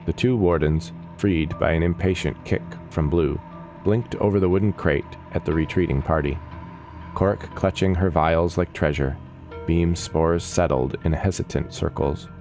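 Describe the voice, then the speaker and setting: A man reading scripted fantasy story narration in a measured, descriptive manner. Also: deep voice, a little mellow, soft background music. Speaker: audiobook narrator.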